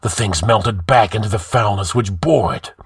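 A man voicing a gruff, wrathful barbarian king with a growling, blunt delivery in a fantasy audiobook. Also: lowish voice, moderate pace, dry recording, studio quality, heavily acted. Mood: grim. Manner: grunting, blunt, imperious.

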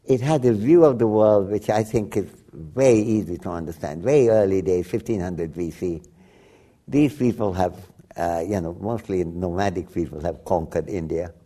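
An older man, a native Bengali speaker from Bangladesh, talking reflectively as an interview guest, often restarting and laughing softly. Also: deep voice, moderate pace. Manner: rambling with frequent restarts and soft laughs. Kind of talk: reflective conversation.

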